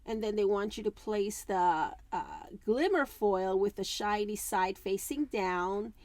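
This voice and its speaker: A woman crafting vlogger going through an unboxing in a chatty way, reading instructions aloud with many fillers, her voice worn and going hoarse. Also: medium pitch, measured pace, darkish tone, no echo. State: losing her voice. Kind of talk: casual unboxing walkthrough.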